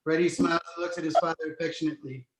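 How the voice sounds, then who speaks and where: Casting: male narrator for screenplay directions, slightly high voice, roomy echoing sound. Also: steady tone, very fast. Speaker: narrator reading stage directions. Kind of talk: screenplay table read narration, present tense.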